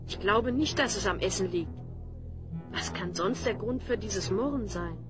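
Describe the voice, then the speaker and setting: A woman's voice: mid-range voice, a little slow, over music, close to an everyday voice. A woman playing a resolute, dryly sarcastic young hero who gives orders in a fantasy audio drama. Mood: wry, questioning.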